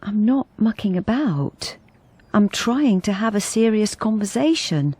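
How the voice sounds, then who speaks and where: An actress playing a timid, wistful middle-aged wife in a radio drama, speaking in a hesitant, confiding way. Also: female voice, medium pitch, animated, measured pace, mellow, husky, no room sound. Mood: guarded, apologetic. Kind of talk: radio drama acting with first-person narration.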